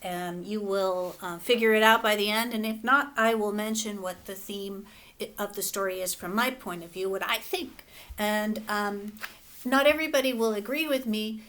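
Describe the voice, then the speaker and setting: A woman teacher reading a children's picture book aloud, relaxed and gently instructive. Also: medium pitch, moderate pace.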